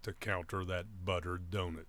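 A fairly low-pitched man speaks as co-host in a casual chat. His delivery is conversational and reactive, made up of brief comments and replies. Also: little pitch movement, normal speed, a bit bright. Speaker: talk show co-host.

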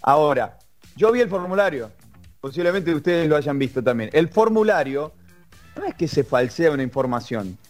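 Argentine man, co-host, slightly high voice, faint music underneath, emphatic explainer. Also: lively intonation, normal speed. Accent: Argentine Spanish. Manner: explanatory, emphatic, restarts often. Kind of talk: casual sports talk.

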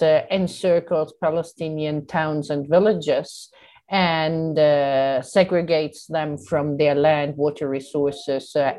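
Female interviewee, Italian accent, low register, unhurried and hesitant, thoughtful campaigner answering questions. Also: darkish tone. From Italy. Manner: halting, hesitant, searching for words. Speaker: guest, human rights campaigner.

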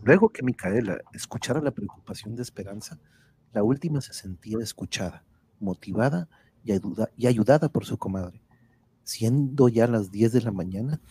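A man's voice: lowish voice, moderate pace, warm tone, breathy edge. A man reading scripted narration for a radio drama.

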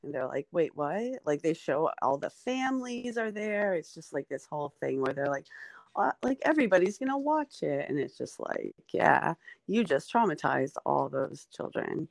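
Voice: mid-range voice, sing-song, moderate pace, gravelly, dry recording. A comedian in their forties, guesting on a podcast in casual, rambling chat, full of fillers. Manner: rambling, filler-heavy, chatty.

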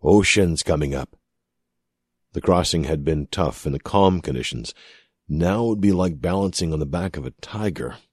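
A male narrator reads dramatic fiction in an extremely deep voice with a husky grain. His phrasing is measured and deliberate, and the sound is dry and close. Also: expressive, a little mellow, no room sound. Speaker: audiobook narrator.

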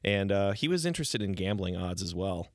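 A man's voice: low-pitched, sing-song, a little fast, no room sound, clean studio sound. A man co-hosting a podcast in casual chat, wry and joking, often laughing. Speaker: podcast co-host.

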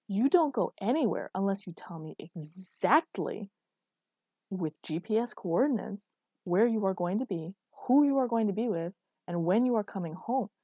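A woman's voice: fairly high pitch, lively intonation, moderate pace, creaky, mellow, slightly rough, dry recording, clean studio sound, voice pushed up, exaggerated voice. A woman voicing an angry, controlling but protective and exasperated man in a dramatic story reading. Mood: furious, commanding.

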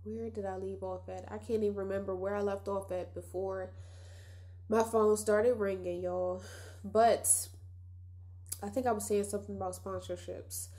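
A woman's voice: medium pitch, steady tone, normal speed, mellow. Young woman, nasal voice, casual solo podcast host, chatty, reflective, talks to listeners as y'all.

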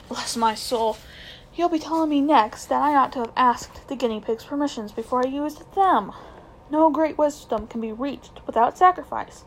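A woman's voice: a little high, a little hiss or hum, natural voice, low-quality recording. A woman voicing a pompous, scheming elderly male magician with a haughty, lecturing manner in a storybook reading. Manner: haughty, lecturing, self-important.

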